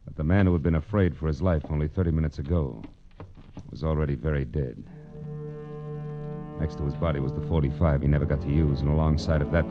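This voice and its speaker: An actor playing a wry, world-weary private detective in 1940s radio noir, with clipped, sardonic delivery. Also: male voice, extremely low pitch. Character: hard-boiled male private detective, wry and cool. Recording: dull vintage radio recording with music.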